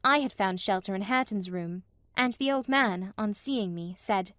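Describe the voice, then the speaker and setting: A woman playing an unhappy young gentlewoman, recounting her troubles in measured literary narration for a dramatized audiobook. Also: mid-range voice, no echo, clean studio sound, lightly acted. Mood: rueful recounting. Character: vexed, wretched young gentlewoman recounting in a letter.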